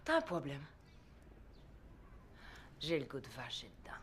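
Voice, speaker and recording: A woman's voice: rumbling low, huge pitch swings, slight background noise, full character voice. A woman dubbing a hesitant adult student in a French film dub. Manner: hesitant, halting. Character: hesitant woman student, speaks of her mother.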